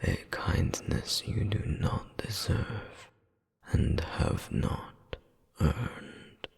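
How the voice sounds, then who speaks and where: Man playing a haughty, whispering, deep-voiced demon royal. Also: expressive, big-room sound, full character voice. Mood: cold, condescending. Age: adult, centuries-old demon. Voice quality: breathy, gravelly low whisper. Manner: formal, haughty, slow and deliberate. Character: cold, condescending royal flame demon older brother. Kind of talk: dramatic audio roleplay monologue.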